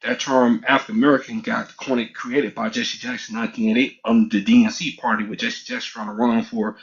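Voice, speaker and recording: A middle-aged man makes his case in a casual online room, pressing hard and circling back over the same points. His voice sits at an ordinary pitch for a man. The audio comes through dull and lo-fi. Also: flat delivery, fairly quick. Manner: assertive, rambling, repetitive. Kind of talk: casual heated argument.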